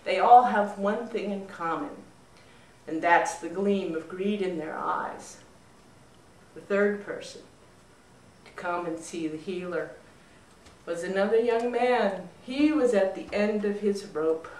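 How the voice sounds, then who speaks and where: Slow-paced woman storyteller in echoey room. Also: medium pitch. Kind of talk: expressive story narration. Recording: roomy echo, distant mic.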